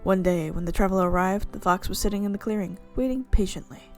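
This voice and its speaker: A woman streamer reads a visual novel's narration aloud with an expressive, playful delivery. Her pitch sits in the middle, and faint game music plays behind her. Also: fast talker. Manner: expressive reading with playful reactions.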